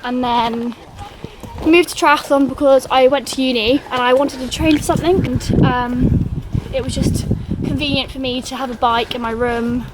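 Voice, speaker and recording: A chatty young woman from England with a high voice talks casually, full of ums and little laughs at herself. She is walking outdoors in a forest, recorded on a phone with loud background noise all around her. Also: steady tone, normal speed. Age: 20s. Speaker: vlogger. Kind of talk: casual chat while walking.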